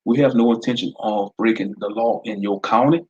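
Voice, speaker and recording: A man voicing a calm young man who speaks politely and persuasively. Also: on the low side, normal speed, breathy edge, dull audio. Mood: persuading.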